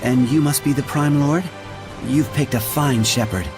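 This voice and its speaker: A man voicing a solemn, humble water spirit in a video game, speaking in a slow, measured, formal way. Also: medium pitch, music in the background, lightly acted. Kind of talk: English game voice acting.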